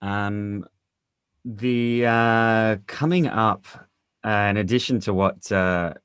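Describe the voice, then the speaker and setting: A man speaking casually as a guest in a panel conversation, with halting, hesitant phrasing. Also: lowish voice, fairly bright tone, smooth, no room sound, highs cut off. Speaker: interview guest, actor and audio drama director.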